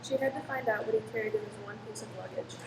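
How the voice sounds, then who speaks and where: A woman's voice: medium pitch, steady tone, fast. A woman giving dramatic scripted narration for a radio play story. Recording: echoey, distant mic, clear noise.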